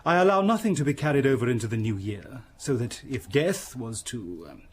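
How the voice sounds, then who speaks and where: A male actor playing a pompous, wealthy English gentleman in a period radio drama, blustering, hesitating and sermonizing. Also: mid-range voice, lively intonation, fairly quick, a little hiss or hum, natural voice. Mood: pompous, imperious. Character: pompous, wealthy, self-satisfied gentleman and member of parliament.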